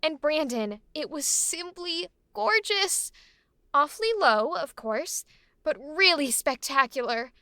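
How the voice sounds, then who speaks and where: A woman's voice: high-pitched, lively intonation, takes it slowly, bright, forceful tone, no echo, clean studio sound, heavily acted. An actress playing a gushing, coquettish young society woman in an old-time radio drama.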